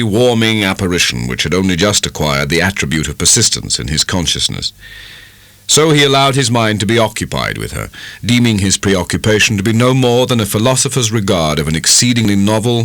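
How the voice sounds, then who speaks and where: A man with a British accent giving a dramatic, measured reading of a literary novel as an audiobook narrator. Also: lowish voice, fairly bright tone, no room sound.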